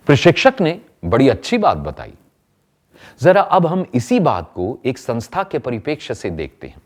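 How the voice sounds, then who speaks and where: A man's voice: medium pitch, huge pitch swings, normal speed. A man narrating a training film in a scripted, explanatory style, emphatic and fond of rhetorical questions.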